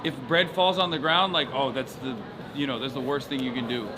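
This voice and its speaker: A man's voice: medium pitch, a little fast, poor audio. A male streamer host chatting casually and jokingly at an outdoor protest, with loose slang. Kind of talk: casual street chat. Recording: loud crowd noise behind. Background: loud crowd.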